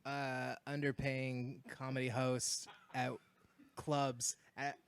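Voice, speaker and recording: A man's voice: medium pitch, little pitch movement, takes it slowly, clear, resonant tone. Blunt joking man on a podcast. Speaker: podcast guest, comedian. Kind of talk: casual podcast chat.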